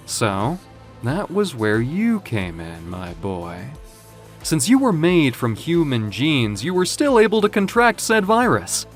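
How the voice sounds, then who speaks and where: Man acting a slow, flamboyant gloating villain. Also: mid-range voice. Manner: theatrical, taunting, savoring words with drawn-out pauses. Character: cocky, flamboyant male villain gloating over his captive creation. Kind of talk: audio drama acting.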